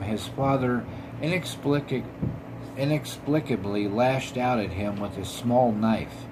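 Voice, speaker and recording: A man hosting a podcast, reading scripted paranormal stories in a halting way, stumbling over words and repeating them. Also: medium pitch, background noise. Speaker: podcast host.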